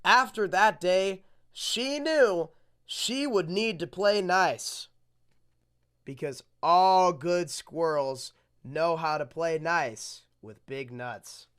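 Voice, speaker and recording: A storytime host reading a picture book aloud in an exaggerated storybook manner with deadpan asides. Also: mid-range voice, slow pace, clear, resonant tone.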